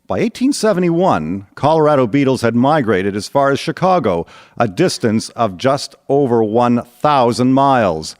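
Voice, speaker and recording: A man's voice: mid-range voice, big pitch swings, normal speed, no room sound, clean studio sound. A man narrating a scripted historical radio drama in a storytelling style.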